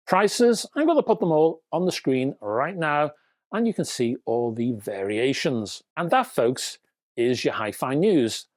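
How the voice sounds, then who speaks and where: Man presenting with very lively, swooping intonation. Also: medium pitch, measured pace, dry recording, clean studio sound. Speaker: host of a hi-fi news show. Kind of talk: scripted presenting to viewers with asides.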